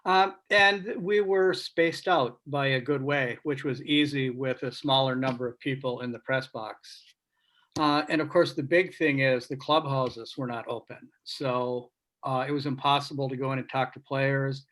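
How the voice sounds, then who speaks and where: Male panelist for casual video-call talk, measured and reflective, mid-pitched voice. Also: fairly even intonation, fairly bright tone, low-quality recording. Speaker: sports writer on a panel.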